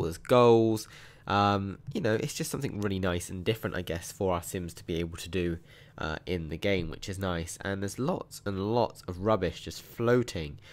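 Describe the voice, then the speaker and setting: Low, croaky man. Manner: chatty and upbeat, frequent ums. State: hoarse from a sore throat. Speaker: mobile game channel host. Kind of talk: casual gameplay walkthrough commentary.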